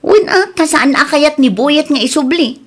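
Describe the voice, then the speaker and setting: A woman's voice: high-pitched, animated, moderate pace. A woman with an Ilocano Filipino accent playing a helpful, gossipy older-sister figure in a radio comedy drama. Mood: chatty, helpful.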